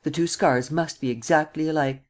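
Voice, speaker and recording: Woman voicing a forceful, imperious nobleman. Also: sits low, fairly even intonation, moderate pace, close to an everyday voice. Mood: quiet, assured. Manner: imperious, vehement. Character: commanding ruthless male nobleman, forceful.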